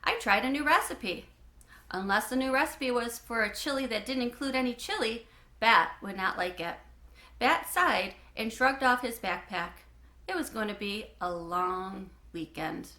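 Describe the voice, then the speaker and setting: A woman narrating a children's book in an expressive, animated way, with clear phrasing. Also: fairly high pitch, measured pace, roomy sound. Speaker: read-aloud host.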